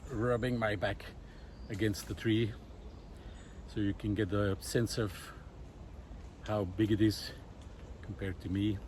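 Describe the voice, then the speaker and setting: A man talking unscripted and reflectively to camera outdoors in a wooded park, pausing often mid-sentence between short phrases. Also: fairly low pitch, level tone, a little mellow. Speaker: vlogger. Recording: clear outdoor background noise.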